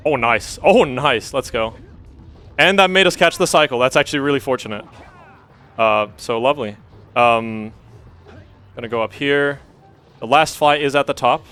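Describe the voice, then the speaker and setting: A man's voice: medium pitch, a bit bright. A man from Denmark giving casual, chatty live commentary as a speedrunner, with an easygoing manner.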